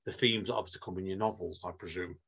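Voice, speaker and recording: A man's voice: sits low, steady tone, quick pace, fairly bright tone, slightly rough. A man hosting a podcast interview in a chatty, rambling way, laughing at himself as he goes. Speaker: podcast host. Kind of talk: casual interview chat.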